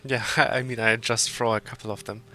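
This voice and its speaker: A man playing a strategy game live and calmly explaining his moves. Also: medium pitch, a little fast. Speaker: player demonstrating the game. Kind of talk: explaining gameplay while playing.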